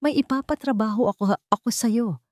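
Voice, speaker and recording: A woman's voice: a little high, a little fast, no room sound, studio quality, pitched above the performer's own voice, close to an everyday voice. A woman voicing a domineering, scheming, wealthy older mother in a story, commanding and insistent. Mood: cold, commanding.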